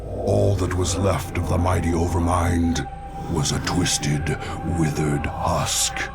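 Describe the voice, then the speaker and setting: A gravelly brooding alien warrior. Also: male voice, low-pitched, flat delivery, exaggerated voice. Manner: measured, grave narration. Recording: orchestral music behind.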